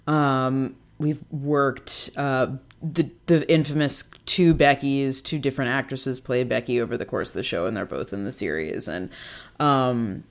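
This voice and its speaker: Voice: medium pitch, unhurried. An American co-host chatting casually and unscripted about television, speaking in a hesitant, rambling way peppered with "like". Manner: hesitant, rambling, heavy use of "like". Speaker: co-host of a TV discussion show.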